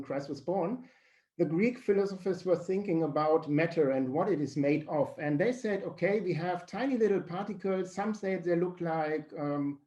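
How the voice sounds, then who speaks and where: A man with a German accent, a teacher presenting physics slides on a video call in earnest, slightly halting phrasing. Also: a little high, dark tone, lo-fi sound.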